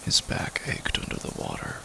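A man narrating a horror story in a somber, grave and hushed manner, with deliberate pauses. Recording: loud rain noise behind voice.

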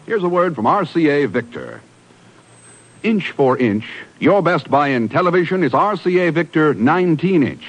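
A man's voice: fairly high pitch, very animated, normal speed. A man doing scripted 1950s radio announcing and advertising. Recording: vintage radio broadcast with music. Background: music.

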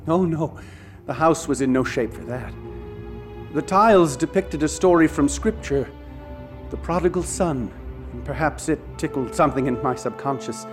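A man's voice: on the high side, normal speed, lightly acted. A man playing a grave, ornate ghost hunter. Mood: ominous. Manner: formal, ornate, old-fashioned speech. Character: grave, eccentric male ghost hunter telling a dark tale. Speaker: witness recounting a haunting. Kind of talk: radio drama acting. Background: music.

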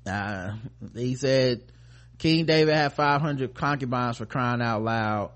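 A man's voice: mid-range voice, slow pace, a bit bright. Sardonic American man, profane and slangy. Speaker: podcast co-host. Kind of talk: casual news commentary chat.

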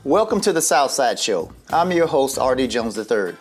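A man's voice: mid-range voice, sing-song, moderate pace, soft background music. A man hosting a local interview show, asking questions and giving many short acknowledgments. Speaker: show host. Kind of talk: interview host.

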